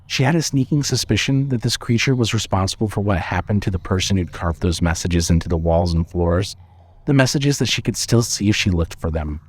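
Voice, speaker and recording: A man narrating a scripted suspense story in a measured, dramatic storytelling manner. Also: low-pitched, slightly rough.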